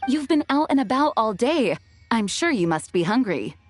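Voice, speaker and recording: A woman playing a composed, kindly but firm knight commander in a video game, speaking in a measured, formal way. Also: fairly high pitch, big pitch swings, music in the background. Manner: measured, formal, gently authoritative.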